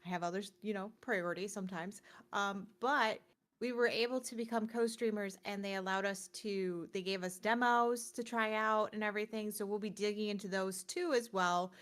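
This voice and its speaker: Female streamer and co-host. Casual banter, excitable, conversational, quick to jump in. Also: mid-range voice.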